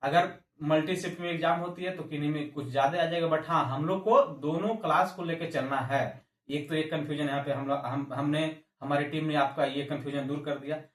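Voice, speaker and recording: Echoey, higher-pitched male coaching teacher. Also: steady tone, brisk. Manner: repeats and restarts phrases, mixes in English terms. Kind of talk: informal explanatory lecture to exam aspirants. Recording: echoey room, distant microphone.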